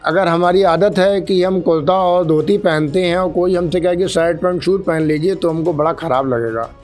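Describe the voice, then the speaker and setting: Male official as interview guest, slightly high voice, earnest explanatory delivery, light music underneath. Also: normal speed, darkish tone. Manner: formal, earnest, mixes in English terms. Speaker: senior traffic police officer, interview guest. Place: radio studio.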